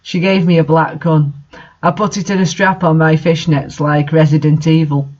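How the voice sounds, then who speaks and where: A man's voice: on the high side, level tone, moderate pace, muffled recording. A man reading a story aloud in an amused, comedic way and adding his own commentary. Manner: amused, breaking into laughter while reading. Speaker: reader hosting a bad fanfiction reading series.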